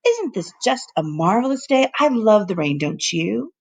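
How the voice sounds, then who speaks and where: An eccentric older gentleman professor from a children's storybook, acted out by a woman reader. He is boisterous and playful, exclaiming heartily, with a gravelly edge to the voice. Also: medium pitch, very animated, moderate pace, full character voice. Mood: delighted, hearty.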